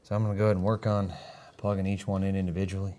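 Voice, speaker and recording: A man's voice: low-pitched, flat delivery, very fast, dark tone. Man building a PC, dry teasing humor. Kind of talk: casual live-stream banter.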